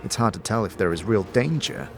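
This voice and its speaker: A man's voice: fairly low pitch, lively intonation, fairly quick. A man narrating a fantasy audio drama in the second person, in a descriptive, dramatic storytelling manner.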